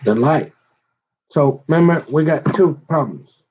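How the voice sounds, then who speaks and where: A man's voice: on the high side, a little slow, breathy edge. A man in a rambling, casual monologue, talking straight to his viewers and restarting his sentences often. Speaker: vlogger. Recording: dull lo-fi audio.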